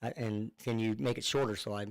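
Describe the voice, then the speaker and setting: A man's voice: on the low side, fairly even intonation, brisk, creaky. A middle-aged man with a northern US accent talks casually as a singer guest on a radio show. He rambles and restarts, with his speech full of fillers, and he is heard over a phone line. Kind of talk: casual radio interview chat. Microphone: Bluetooth phone line.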